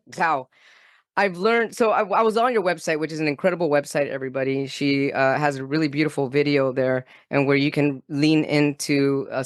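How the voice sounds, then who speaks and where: A male podcast host with a fairly high voice talks with effusive enthusiasm. He often repeats questions and praise. The sound is dry and close. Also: no room sound. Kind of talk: enthusiastic interview hosting. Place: remote, in California.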